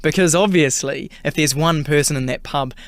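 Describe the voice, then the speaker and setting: A man's voice: fairly high pitch, normal speed, dry recording, clean studio sound. A man from New Zealand with a New Zealand accent, chatting as a singer guest in a radio interview, with many fillers and restarts.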